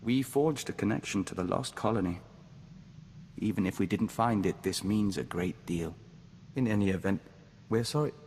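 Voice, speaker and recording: An actor voicing a calm, formal young man joined to an insect hive mind in a video game, speaking in a measured way as "we". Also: on the low side, long reverb tail, slight background noise, natural voice. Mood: solemn. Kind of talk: scripted video game voice acting.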